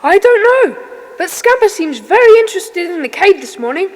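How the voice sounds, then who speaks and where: Casting: an eager young schoolkid adventurer in an audio drama, earnest, extremely high and lively voice. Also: female voice, brisk, big-room sound. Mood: curious. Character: keen, curious young schoolchild in a secret club. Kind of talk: children's audio drama acting.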